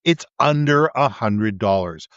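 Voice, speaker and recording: A man co-hosting a casual podcast, talking at length in a booming voice, with frequent restarts, into a USB mic kept a little away from his face. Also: lively intonation, measured pace, a bit bright, no room sound, muffled recording. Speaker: podcast co-host. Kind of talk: casual chat. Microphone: USB condenser mic with windscreen, held back from the mouth.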